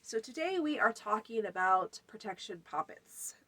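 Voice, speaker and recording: A woman with a blocked, stuffy nose talks viewers through a homemade craft in a loose, conversational way. She ambles from item to item with frequent ums and uhs. Her voice sits at an ordinary middle pitch. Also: animated, moderate pace, sharp tone. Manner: chatty, many fillers, lists items. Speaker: folk magic channel host. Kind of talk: casual spoken tutorial.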